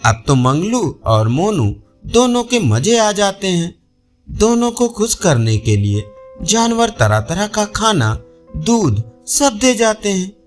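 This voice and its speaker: A man's voice: high voice, big pitch swings, takes it slowly, soft background music. A man narrating a scripted children's story as a storyteller.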